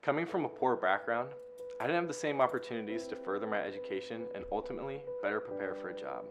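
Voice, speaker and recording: A man's voice: lowish voice, expressive, fast. A young man giving a scripted testimonial voice-over for a short commercial, earnest and reflective. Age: young adult. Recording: loud guitar music behind.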